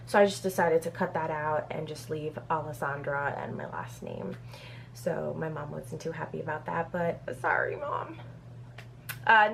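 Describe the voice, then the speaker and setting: A woman in her thirties from Brazil chats through a casual question-and-answer video, reading each question off a printed page. Her voice sits a little low, with a gravelly fry running through it. A faint hum stays under the recording. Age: 30s. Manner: chatty, reads questions off a paper, many "um"s. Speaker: beauty vlogger. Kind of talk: casual get-to-know-me Q&A.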